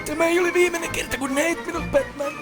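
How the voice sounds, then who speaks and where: A very high, shrill cartoon villain. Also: male voice, fairly even intonation. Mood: furious. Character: shrill, menacing cartoon male villain, vengeful and theatrical. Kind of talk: comic cartoon parody fan dub. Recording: music clearly behind the voice.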